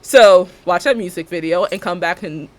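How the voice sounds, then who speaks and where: Woman, young adult, deep alto voice, rambling, laughs a lot, casual stream chat. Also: normal speed. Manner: rambling and chatty, laughs often, talks to chat. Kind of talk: casual chat while live-streaming a building game.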